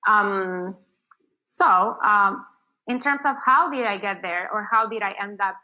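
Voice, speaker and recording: A woman from Argentina talks through her answers in a loose, rambling way, full of fillers and restarts. English is not her first language. Her voice sits at a middle pitch, and it comes over a muffled, lo-fi video call. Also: a little slow. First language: Spanish. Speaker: marketing agency founder. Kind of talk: casual interview answers.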